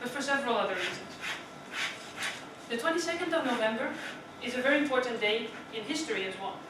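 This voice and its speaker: A woman's voice: fairly high pitch, animated, fast. Dutch woman giving a speech in an echoey room. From Netherlands. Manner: chatty, asks the audience questions, often adds "right?". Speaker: club speaker. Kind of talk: prepared public speech. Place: meeting room. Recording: echoey room from far off with noise.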